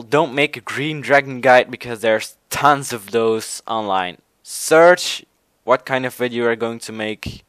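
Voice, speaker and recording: A young man from Belgium, a native Dutch speaker with a Belgian Dutch accent, giving gaming video tips in a casual, halting way with many restarts. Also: medium pitch, a bit bright, smooth, dry recording. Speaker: gaming channel host. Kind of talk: casual tutorial commentary.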